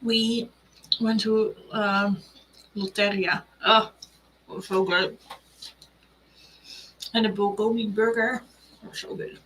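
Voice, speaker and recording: A woman's voice: mid-range voice, little pitch movement, slow, pauses often, a bit bright, a little hiss or hum, low-quality recording. A woman hosting a casual tarot live stream, chatting in a bubbly, rambling way with lots of fillers.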